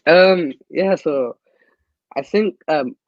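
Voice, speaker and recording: A young man from Myanmar, a guest activist, answering interview questions earnestly and haltingly, with many restarts. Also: fairly high pitch, dry recording, lo-fi sound. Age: young adult.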